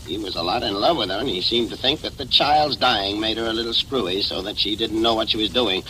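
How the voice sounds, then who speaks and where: Bright, forceful detective agency man. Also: fairly low pitch, brisk. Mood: helpful. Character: brisk, confident detective agency assistant manager, man. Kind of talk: 1940s radio drama acting. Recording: noisy old 1940s radio recording.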